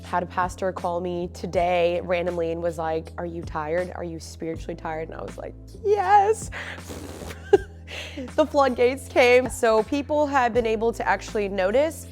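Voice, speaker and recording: Young woman vlogging from her living room, stuffy nose, chatty with lots of ums, a little music low in the back. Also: lively intonation, moderate pace, a little mellow. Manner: chatty, open, lots of fillers. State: stuffy, sniffly. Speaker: faith and lifestyle vlogger. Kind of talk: casual chat to camera.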